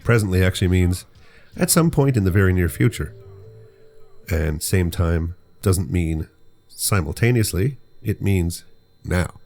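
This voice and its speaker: Male podcast host, very low voice, chatty and rambling, faint music underneath. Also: lively intonation, a little slow, a little mellow. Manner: rambling, jokey, explains slang. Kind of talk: casual chat.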